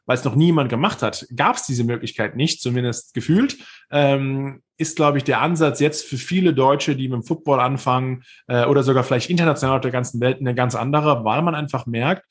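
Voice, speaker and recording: Man, 30s, husky voice, interview guest, rambling reflective storytelling, frequent hesitations. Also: mid-range voice, a little fast, few pauses. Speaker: guest, former pro football player.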